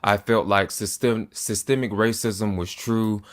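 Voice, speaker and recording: A man in his twenties giving an earnest, deliberate to-camera monologue as a political commentator, with small restarts. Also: fairly low pitch, steady tone. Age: 20s.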